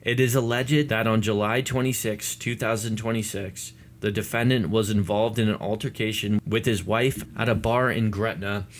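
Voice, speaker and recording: A man with a fairly low voice presents news commentary as an online host. He lays out the details for his viewers in the manner of a video update. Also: slight background noise. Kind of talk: news commentary to viewers, part read statement.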